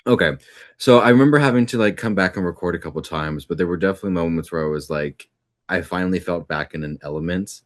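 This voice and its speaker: A young man with a very low, deep voice talks casually about his acting work as an interview guest. He sounds easygoing and reflective and leans on fillers as he thinks. The recording is dry, with no room sound around him. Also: fast talker, no echo. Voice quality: deep natural speaking voice. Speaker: voice actor guest.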